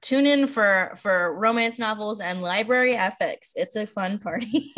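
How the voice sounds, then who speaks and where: A woman's voice: mid-range voice, unhurried, fairly bright tone. An American woman from Washington, D.C., chatting casually as a podcast host and librarian, with rambling, self-deprecating asides. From Washington, D.C., United States. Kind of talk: casual chat.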